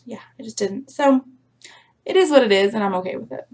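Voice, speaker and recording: A woman vlogger chatting casually to her viewers, rambling with lots of fillers, her voice a little rough from a recent sore throat. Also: on the high side, a little fast, darkish tone. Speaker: crafting vlogger. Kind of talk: casual chat to camera.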